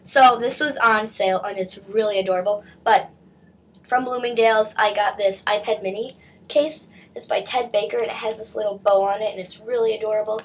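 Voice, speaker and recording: A woman's voice: medium pitch, fairly even intonation, a little fast, slight background noise. A teenage girl chatting through a shopping haul on her webcam, stuffy from a cold, with lots of likes and restarts. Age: teens. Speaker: vlogger. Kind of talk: casual haul video chat. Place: small bedroom indoors. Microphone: webcam at desk distance.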